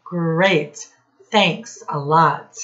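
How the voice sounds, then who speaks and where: American woman, lowish voice, unhurried pace, children's storytime teacher, question-asking, slightly dull home recording. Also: fairly bright tone. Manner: instructive, asks questions, addresses listeners directly. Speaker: children's teacher and read-aloud host. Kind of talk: storytime lesson addressed to young children. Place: home office classroom.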